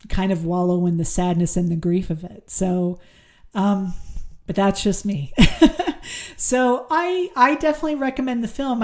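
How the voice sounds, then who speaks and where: A woman's voice: mid-range voice, warm tone. A woman hosting a horror movie podcast, chatting casually with many ums, her voice a little worn. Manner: chatty, lots of ums and restarts. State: losing her voice. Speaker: podcast host. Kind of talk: casual solo review and news.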